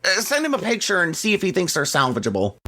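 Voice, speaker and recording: A woman's voice: lowish voice, huge pitch swings, fast talker, pushed and bright, heavily acted. A woman playing a fussy, controlling rich man in an audio drama, whiny, pompous and indignant. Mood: haughty controlling.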